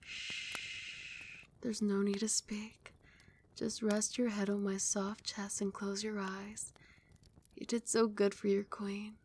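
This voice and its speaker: ASMR casting: a young woman as a tender, possessive vampire queen, soft soothing whisper, close mic. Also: mid-range voice, little pitch movement, slow pace, long pauses, slight background noise. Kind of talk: ASMR roleplay.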